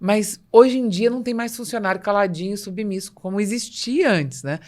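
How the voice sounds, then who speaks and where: A woman's voice: medium pitch, normal speed, a little mellow. A Brazilian woman hosting a conversational interview, explaining things assertively and acting out quoted remarks. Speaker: podcast host.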